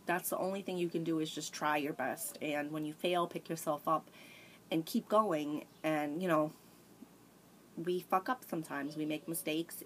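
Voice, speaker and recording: Woman in her thirties, low voice, candid rambling vlog, tablet mic, faint hiss. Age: 30s. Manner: rambling, candid, frequent restarts and sighs. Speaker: vlogger. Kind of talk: casual confessional chat. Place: small room by a sunny window, indoors. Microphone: tablet camera at arm's length.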